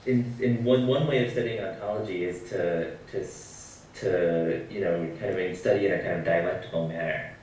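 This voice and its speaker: A man's voice: sits low, normal speed, few pauses, darkish tone. A man asking a teacher questions as a student, careful and hesitant, with roundabout phrasing, distant in an echoey space. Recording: echoey, distant, faintly noisy microphone.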